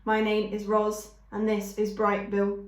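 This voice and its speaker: A gentle female robot mother speaks slowly and plainly, as a woman reads her in a storybook. She sounds calm and steady. The recording is roomy. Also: mid-range voice, natural voice. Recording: roomy echo.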